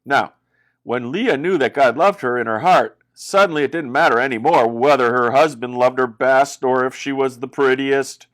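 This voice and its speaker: A man reading a children's Bible story as a pastor, in a dramatic, expressive way with exaggerated emphasis. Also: medium pitch, normal speed.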